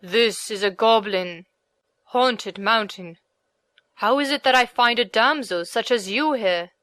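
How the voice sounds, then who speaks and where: A woman's voice: on the high side, unhurried, a bit bright, no room sound. A woman voicing a brave, noble male warrior leader in an audiobook, with an earnest, commanding delivery. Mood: concerned. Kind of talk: audiobook character reading.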